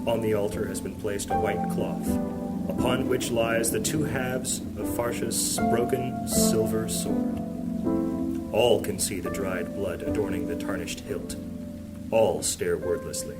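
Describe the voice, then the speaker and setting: A man's voice: lowish voice, steady tone, a little slow, lightly acted. A man narrating a scripted fantasy audio drama as a solemn storyteller. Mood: solemn, descriptive. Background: plucked guitar music.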